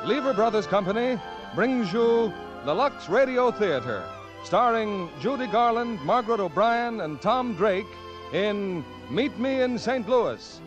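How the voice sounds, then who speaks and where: A man's voice: sits high, lively intonation, unhurried, muffled recording. A man announcing a 1940s radio broadcast in a formal, declamatory style. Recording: vintage radio broadcast, loud music behind. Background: loud music.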